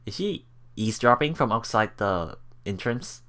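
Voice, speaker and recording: A woman's voice: very deep voice, animated, normal speed, fairly bright tone. A woman doing a casual let's play, reading visual novel text aloud and reacting with giggly asides. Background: game music.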